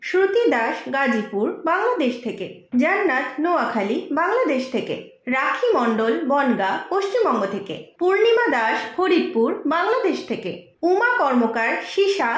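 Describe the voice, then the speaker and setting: A woman's voice: high-pitched, echoey room. Woman announcer reading out a list.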